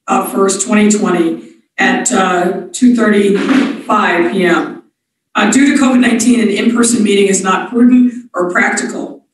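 A woman chairing a formal board meeting in a procedural manner, with frequent fillers, in a large wood-panelled office. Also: medium pitch. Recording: echoey room from far off.

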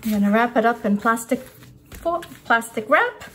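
An older woman with a fairly high voice gives a casual cooking lesson. She talks through each step off the cuff while her hands are busy. There is clear kitchen noise behind her, with dishes and pans. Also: animated, normal speed, a bit bright. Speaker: cooking instructor. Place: home-style kitchen, indoors at a counter.

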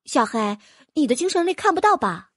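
A clever young heroine in a fantasy storybook, calm and explaining, as a woman reads her. Her voice is high and lively. The recording is dry and close. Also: a little fast, dry recording.

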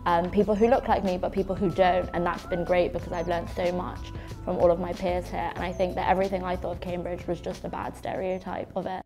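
Young female student, informal interview, lowish even voice, music underneath. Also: a little fast. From Uganda, living in London. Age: early 20s. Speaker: university student. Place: bright room with large windows. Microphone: lapel mic. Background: background music.

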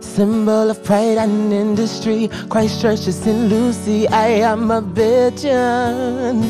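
A young Barbadian man, a talent show contestant who sings to his own acoustic guitar on a studio stage and speaks softly and earnestly about his song. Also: high-pitched, little pitch movement, slow pace. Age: young adult. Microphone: stand mic. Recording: acoustic guitar behind the singing.

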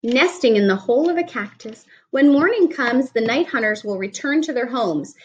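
A woman's voice: a little high, animated, normal speed, husky, lo-fi sound. A woman in her forties, a speech teacher, reading a picture book aloud to a young child in a home kitchen and asking him questions in a patient, teacherly way, picked up by a webcam across the table. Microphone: webcam at table distance.

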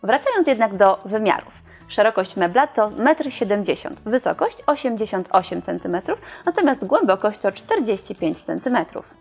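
A young woman presenting and reviewing furniture in a showroom, in a clear, explanatory scripted delivery. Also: medium pitch, big pitch swings, moderate pace, soft background music.

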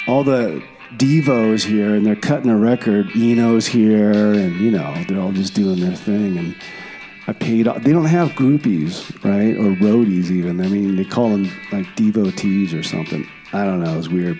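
A man's voice: lowish voice, dark tone, close to an everyday voice. A man playing a laid-back time traveler, rambling offhandedly in a casual comedy radio monologue.

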